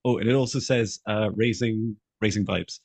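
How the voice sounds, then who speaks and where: A middle-aged man from Scotland introducing himself on a video call, earnest and halting with many fillers and restarts. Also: lowish voice, steady tone, vocal fry, darkish tone, no echo.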